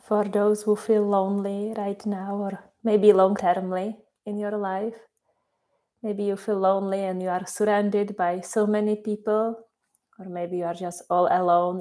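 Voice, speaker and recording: A woman whose first language is Czech, guiding a meditation slowly and softly, repeating her phrases with long pauses. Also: mid-range voice, flat delivery. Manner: slow, soft and repetitive, with many pauses. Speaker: meditation guide. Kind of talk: guided meditation.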